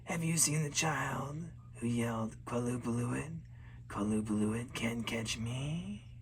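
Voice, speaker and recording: A man voicing menacing creatures that live under the sea ice, in a slow, breathy whisper. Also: medium pitch, noisy background. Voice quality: breathy whisper, like snow blowing over ice. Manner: slow, drawn-out, sing-song when chanting.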